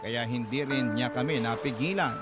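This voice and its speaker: A man reading his own life story aloud as a letter to a radio host, steady and confessional. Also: medium pitch, moderate pace. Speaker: letter-sender narrator. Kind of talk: scripted storytelling narration. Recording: narration over loud background music.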